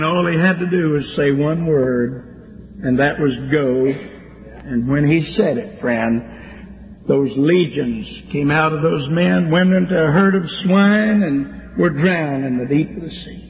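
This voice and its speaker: A high-pitched man preaches in a church, building fervent, rhythmic cadences. The recording is dull and lo-fi, with a long reverb trailing off the walls. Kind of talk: sermon.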